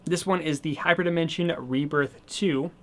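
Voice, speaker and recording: A man casually showing off his new video games and accessories, chatty and rambling, slightly hoarse as he says he is losing his voice. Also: a little high, steady tone, moderate pace, fairly bright tone, slight background noise. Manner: chatty and rambling, with many fillers. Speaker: gaming channel host. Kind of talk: casual video game haul vlog.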